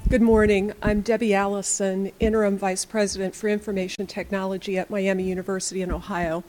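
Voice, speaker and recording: A woman's voice: medium pitch, level tone, a little fast, darkish tone, faint music behind, rough recording. A woman formally introducing the speakers at a conference session, reading prepared bios.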